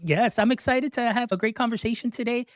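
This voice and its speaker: Effusive podcast host. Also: male voice, very high-pitched, fast talker, no echo. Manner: upbeat, effusive welcomes and thanks. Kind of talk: enthusiastic podcast interview.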